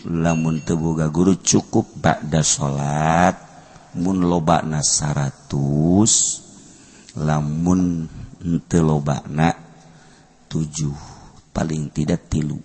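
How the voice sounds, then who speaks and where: An older man with a Sundanese accent giving a humorous sermon, telling animated stories, breaking into laughter and shouts. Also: very deep voice, slow pace, pauses often, slightly rough. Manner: animated storytelling, shouting and laughing at his own jokes. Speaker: Islamic preacher.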